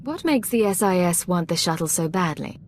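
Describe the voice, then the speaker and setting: A low-pitched actress as a female secret agent in a sci-fi game, assertive and probing. She stays cool and controlled over audible background noise. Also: animated, normal speed, a little mellow, natural voice. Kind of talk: video game voice acting.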